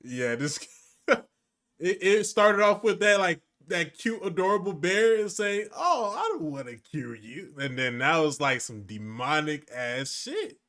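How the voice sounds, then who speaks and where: A man's voice: fairly high pitch, very animated, measured pace, sharp tone. A man giving casual, slangy, profane reaction commentary as a streamer.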